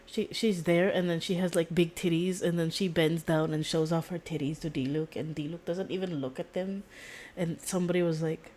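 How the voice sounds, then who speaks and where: A woman's voice: on the low side, steady tone, normal speed, hardly any pauses, darkish tone. A woman game streamer chatting casually in a rambling, slangy, blunt way, often repeating her words. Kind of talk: casual live-stream chat. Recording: faint background noise, game audio.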